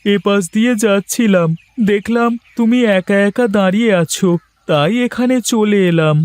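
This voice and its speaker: A man's voice: high-pitched, normal speed, darkish tone, clean tone, no room sound, lightly acted. A man voicing an eager, obliging young village helper in a cartoon. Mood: friendly. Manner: eager, deferential. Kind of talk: cartoon voice acting.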